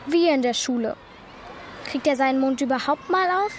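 A very high-voiced schoolgirl played by a woman talks in a children's radio play. She is the cheeky best friend, blunt and always prying. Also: normal speed, slight background noise, lightly acted. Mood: nosy.

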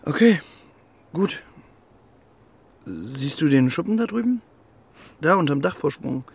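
A man playing an easygoing, teasing pigeon pal in a children's radio play, with gravel in the voice and a clipped, offhand manner. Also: a little high, animated, slow, exaggerated voice. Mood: breezy.

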